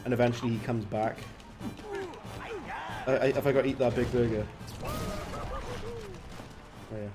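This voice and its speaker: A man's voice: mid-range voice, steady tone, normal speed, long pauses. A man with a Welsh accent giving chatty, laughing gameplay commentary as he talks to his viewers. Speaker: gaming streamer. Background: game music and sound effects.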